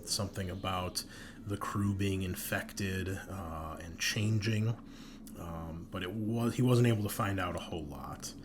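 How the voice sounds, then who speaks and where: Low-voiced man narrating a tabletop game. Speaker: game master and host. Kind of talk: recapping a tabletop roleplaying game session in narration. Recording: clear background noise.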